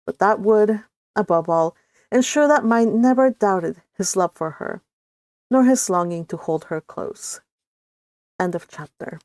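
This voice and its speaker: A woman's voice: medium pitch, lively intonation, measured pace, a little mellow, dry recording. A woman, not a native English speaker, reading her own fan fiction aloud and then chatting about it, often laughing and restarting her sentences. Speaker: fanfic author reading her own story. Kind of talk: story reading and casual chat.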